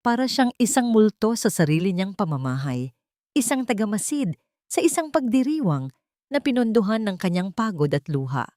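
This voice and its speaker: A woman's voice: medium pitch, sing-song, moderate pace, a little mellow, no echo, studio quality. A woman reading a scripted, dramatic love story as its narrator.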